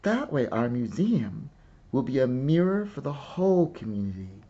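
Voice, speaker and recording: A man's voice: mid-range voice, sing-song, moderate pace, mellow, husky, lo-fi sound, pitched above the performer's own voice. A man reading a storybook as a proud, eager little girl who earnestly and carefully plays museum guide.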